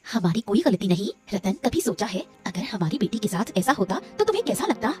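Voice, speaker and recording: A woman as an outspoken, fast-talking principled wife. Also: medium pitch, big pitch swings, darkish tone, faint music behind, close to an everyday voice. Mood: righteous, reproachful. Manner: challenging, reproachful questioning. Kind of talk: Hindi cartoon story dialogue.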